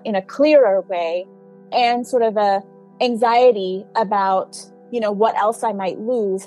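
A woman's voice: medium pitch, warm tone. A woman born in Tanzania, a writer being interviewed, telling her story thoughtfully with small fillers. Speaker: guest, memoir writer.

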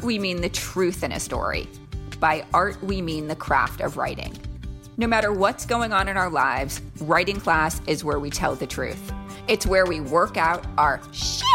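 A woman podcast co-host speaks in a slightly low voice, narrating from a script. Soft music sits behind her.

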